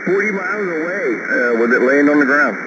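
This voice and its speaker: A man's voice: fairly high pitch, animated, a little fast. A man chatting casually as an amateur radio operator over shortwave, in an informal, folksy, mildly profane way. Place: two-way radio contact received on shortwave. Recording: noisy shortwave radio transmission with static.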